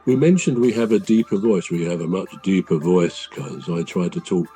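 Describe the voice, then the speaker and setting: A man with a naturally deep voice hosts a relaxed podcast chat. His tone is low and mellow, and he explains things patiently with frequent ums and uhs. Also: faint music behind. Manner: measured, explains at length with many um and uh. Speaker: podcast host.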